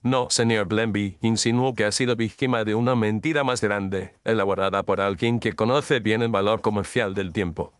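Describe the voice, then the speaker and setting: A Victorian detective, played by a man in an audio mystery. The voice is on the low side, recorded very dry. He is composed and incisive, setting things out in formal, deliberate speech. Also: clean tone, no room sound, lightly acted. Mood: probing, assured. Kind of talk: Spanish audio drama mystery acting.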